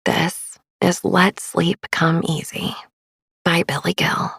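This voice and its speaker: A woman podcast host narrating a sleep meditation in a soft, breathy, soothing and gentle manner. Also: fairly low pitch, flat delivery, slow. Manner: soothing, hushed, gentle delivery.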